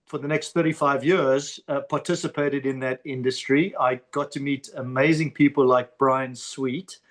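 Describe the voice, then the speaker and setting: South African man, halting and repetitive. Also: mid-range voice, fairly even intonation, fairly bright tone. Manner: halting, repeats words as he starts a thought. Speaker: podcast guest. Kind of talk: casual interview talk.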